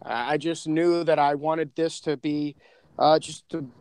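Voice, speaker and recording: A man's voice: fairly high pitch, fairly even intonation, a little slow, a little mellow, clean tone, dry recording. A man in casual chat as a podcast guest, effusive and slangy, often repeating phrases as he goes. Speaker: podcast co-creator and panel guest.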